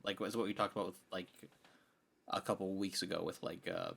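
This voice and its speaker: A man's voice: low voice, fairly even intonation, brisk, creaky, fairly bright tone, husky. A man co-hosting a podcast, giving his opinions on films in casual conversation. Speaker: podcast co-host. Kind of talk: casual film podcast chat.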